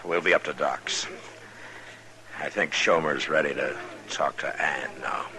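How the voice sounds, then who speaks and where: An actor playing a steady, terse, authoritative Old West marshal in a 1950s-style radio western. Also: male voice, extremely low pitch, unhurried, a crowd in the background. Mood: calm, businesslike. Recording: noisy old radio drama recording.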